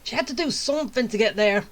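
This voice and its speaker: A woman streaming a game with casual commentary, musing aloud in a halting way. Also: a little high. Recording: game music behind the voice.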